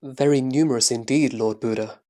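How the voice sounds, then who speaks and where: A man playing a reverent disciple who answers his master calmly and formally in a Buddhist scripture audio drama. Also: mid-range voice, normal speed, lightly acted. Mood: reverent agreement. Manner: respectful, calm, careful formal answers.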